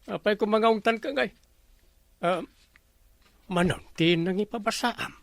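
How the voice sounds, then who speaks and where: A man's voice: sits high, a little slow, vocal fry, a bit bright, dry recording, full character voice. A man playing a blustery, vain village captain, comic and wheedling, in a radio drama. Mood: self-pleased. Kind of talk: comedy radio drama acting.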